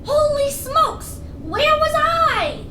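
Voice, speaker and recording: Comic radio henchman: extremely high, goofy, dim but eager, servile mobster slang; noisy recording. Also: very animated, drawn-out words, full character voice. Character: dim-witted, eager gangster henchman, squeaky, apologetic. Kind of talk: comic radio theater acting. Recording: roomy, echoing, clearly noisy recording.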